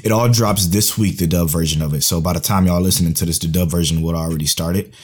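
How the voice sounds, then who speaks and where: A man co-hosting a casual podcast, laid-back and slangy, his voice going hoarse. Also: deep voice, steady tone, fast, a little mellow. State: losing his voice. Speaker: podcast co-host.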